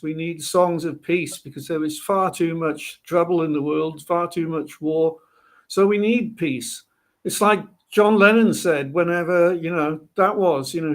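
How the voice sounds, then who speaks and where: A man from Wales speaks as a guest musician on a podcast. His voice sits a little high, and he tells his story in a chatty, rambling way, restarting phrases often. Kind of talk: interview storytelling.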